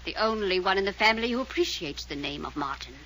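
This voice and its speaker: A woman's voice: medium pitch, animated, quick pace, pushed and bright. An actress playing a domineering, proud old grandmother, imperious and disapproving, in an old radio serial. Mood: stern, indignant. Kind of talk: 1940s radio serial acting. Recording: noisy old radio drama recording.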